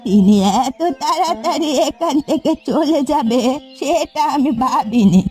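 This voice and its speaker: A woman's voice: sits high, expressive, unhurried, darkish tone, faint music behind. A woman acting a wary, protective old village granny in a cartoon folk tale, giving cautioning counsel. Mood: suspicious.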